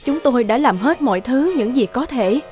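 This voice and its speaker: A woman's voice: on the high side, animated, moderate pace, a little mellow, faint music behind, lightly acted. A woman playing a concerned, earnest hospital medic in a dubbed cartoon drama. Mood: sorrowful.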